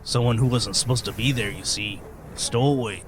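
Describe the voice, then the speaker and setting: Man playing a gruff old sailor, noisy background. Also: mid-range voice, moderate pace, close to an everyday voice. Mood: grave, ominous. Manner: folksy rustic speech, hesitant storyteller. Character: weathered old sailor, gruff, modest teller of sea tales. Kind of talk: radio play acting.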